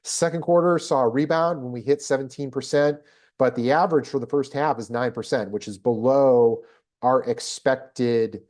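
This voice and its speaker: A man hosting a business data presentation, explaining figures in a measured, analytical way. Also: mid-range voice, steady tone, a little mellow. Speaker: host presenting a job market report.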